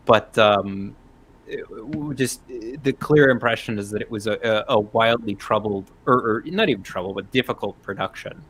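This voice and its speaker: A man's voice: on the low side, moderate pace, a bit bright, husky, low-quality recording. A man on a panel talking casually but carefully, often qualifying and correcting himself as he goes. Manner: careful, hedging, self-correcting. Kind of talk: casual chat. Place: convention panel room.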